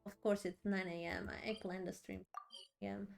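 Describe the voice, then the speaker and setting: A woman's voice: mid-range voice, level tone, moderate pace, vocal fry, heavy background noise. A woman chatting casually on a game livestream, a bit sleepy, repeating phrases for emphasis. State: a bit sleepy after a long day. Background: background music.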